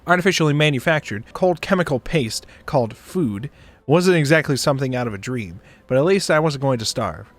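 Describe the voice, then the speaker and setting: A man reading a visual novel aloud as a streamer, with expressive narration. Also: mid-range voice, brisk. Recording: dry close mic, background music.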